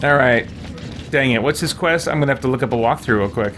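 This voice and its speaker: A man's voice: medium pitch, fast, loud music behind the voice. A man streaming a video game, commenting casually to himself with folksy, mildly exasperated exclamations.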